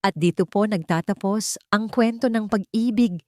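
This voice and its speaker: A woman's voice: mid-range voice, normal speed, warm tone, no echo, clean studio sound. A woman narrating a scripted romantic story in a sentimental, dramatic way.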